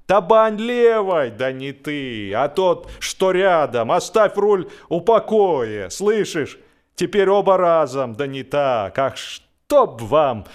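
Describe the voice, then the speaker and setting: A man voicing bossy onlookers on a boat deck in an audiobook, barking loud, drawn-out orders. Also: a little high, very animated, clear, resonant tone, voice pushed up. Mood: furious, bossy. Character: bossy, shouting boat-deck onlookers scolding clumsy rowers.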